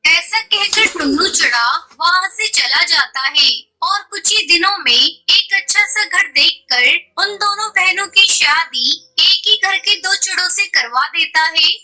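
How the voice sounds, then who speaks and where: A woman's voice: very high voice, lively intonation, measured pace, few pauses, sharp tone, low-quality recording. A woman narrating a scripted children's cartoon story as the storyteller.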